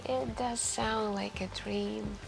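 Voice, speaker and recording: Woman acting as a lonely mysterious cafe girl, soft asmr voice, really slow and hesitant, music playing behind. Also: mid-range voice, fairly even intonation, vocal fry, low-quality recording. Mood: dreamy, longing. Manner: hesitant, musing, with lingering pauses and sighs. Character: mysterious, wistful, lonely woman stranded in a café at night. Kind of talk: soft-spoken intimate ASMR roleplay. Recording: background music.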